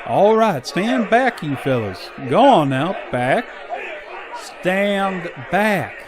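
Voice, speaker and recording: An actor playing a folksy, loyal, plain-spoken frontier deputy with rustic grammar in a western radio drama. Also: male voice, sits high, very animated, very slow, heavily acted. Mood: urgent. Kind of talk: old-time western radio drama acting.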